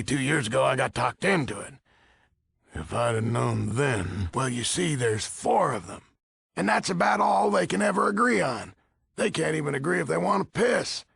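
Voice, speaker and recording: An actor playing a gruff, blunt, folksy old military leader in a video game, with a gravelly, husky voice. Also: male voice, a little high, a bit bright, dry recording, studio quality, heavily acted. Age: older. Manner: blunt, folksy, swearing, easily exasperated. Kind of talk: video game character dialogue.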